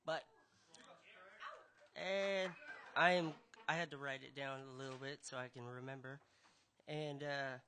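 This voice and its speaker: A young man introducing himself from a coffeehouse stage before playing, talking in a shy, halting way full of fillers. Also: medium pitch, fairly even intonation, pushed and bright, slight background noise. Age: young adult. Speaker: open mic performer. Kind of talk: casual stage patter. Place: small coffeehouse stage, indoors. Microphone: stage mic, picked up by a room camera.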